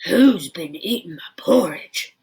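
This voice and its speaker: A woman's voice: on the low side, animated, takes it slowly, breathy edge, full character voice. Woman doing a roaring daddy rhino. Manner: loud, roaring. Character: big loud daddy rhino.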